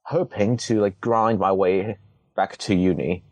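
A young man from Hong Kong, a native Cantonese speaker, answers questions in a low, deep voice. He talks hesitantly, restarting sentences and adding dry jokes. The sound is close and dry. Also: steady tone, a little slow, no room sound. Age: young adult. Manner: hesitant, many restarts and fillers, wry humor. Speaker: interviewee, student. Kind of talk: casual interview answers.

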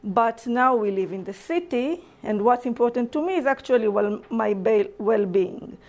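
Israeli woman lecturing in halting English. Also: fairly high pitch, lively intonation, a little mellow. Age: young adult. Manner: halting, self-correcting non-native English with restarts. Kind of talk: public lecture. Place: lecture room.

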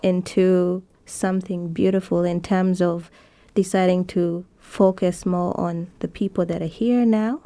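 A woman's voice: lowish voice, fairly even intonation, measured pace, few pauses, warm tone. A woman hosting an interview, encouraging and affirming her guest as she talks. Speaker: interviewer, youth show host. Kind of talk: casual interview.

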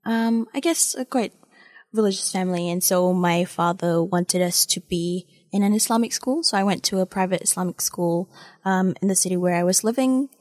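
A woman's voice: fairly low pitch, moderate pace, darkish tone. Hesitant young woman interview guest. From Malaysia. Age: 20s. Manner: hesitant, with many ums and uhs. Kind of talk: casual interview talk.

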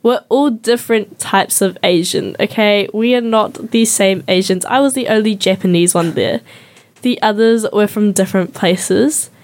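A woman's voice: mid-range voice, moderate pace, darkish tone. A young woman with a New Zealand accent hosting a youth radio show, telling stories in a casual, chatty way full of ums and likes. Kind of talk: casual chat.

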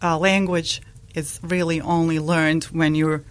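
A woman in her forties from Bosnia, speaking English with a Bosnian accent, a guest in a radio interview who talks with many pauses and restarts. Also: low-pitched, level tone, a little hiss or hum.

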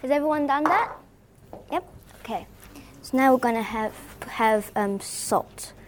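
A woman's voice: high voice, measured pace, noisy background. An eleven-year-old girl from Belgium chatting hesitantly with many ums while she teaches a group of children how to bake a sweet bread at a community hub. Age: 11 years old. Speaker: young baking tutor. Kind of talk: casual workshop instruction.

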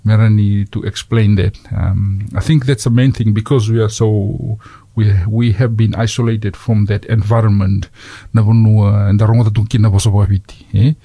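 A man with a Fijian accent hosting a community radio chat, halting with many fillers and slipping into Fijian phrases. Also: on the low side, little pitch movement, dark tone, dry recording. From Fiji. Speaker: radio host.

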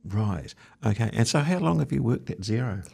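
A man's voice: medium pitch, expressive, moderate pace, vocal fry, warm tone, slightly rough. A man hosting a show and asking his guest questions in a casual, conversational way. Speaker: radio or podcast interviewer.

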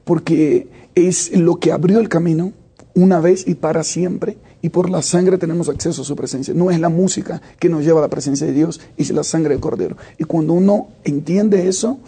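Worship leader, thirties, male, higher-pitched but husky, reflective with hesitations. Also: normal speed, dark tone. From Brazil. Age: 30s. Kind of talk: interview answers.

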